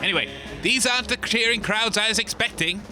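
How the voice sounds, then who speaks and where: Man playing a very high-pitched, pompous radio co-host. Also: fairly quick, loud music behind the voice, natural voice. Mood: dry, sardonic. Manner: pompous, petulant. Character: grumbling theatrical male radio co-host, haughty and self-pitying. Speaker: radio show co-host character. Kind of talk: comedy audio drama acting.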